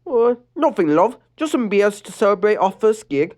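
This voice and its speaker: A pushy teenage bandmate from a read-aloud fan story talks in a sarcastic, needling way with a raised voice, as a man puts him on. His pitch rises and falls widely. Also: very animated, normal speed, darkish tone.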